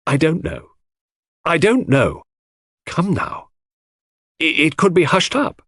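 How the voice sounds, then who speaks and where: A man acts out a proud, conflicted woman in an audiobook mystery. The voice is lifted and expressive, blunt and emphatic, with halting defiance and a dry, close sound. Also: on the high side, slightly rough, no echo, voice pushed up, full character voice. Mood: anguished.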